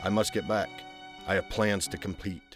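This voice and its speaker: A man voicing a brooding, haunted young gentleman heir in a motion comic, formal, solemn and deliberate. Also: sits low, steady tone, over music, lightly acted. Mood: guarded, resolute. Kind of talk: dramatic motion-comic acting and diary narration.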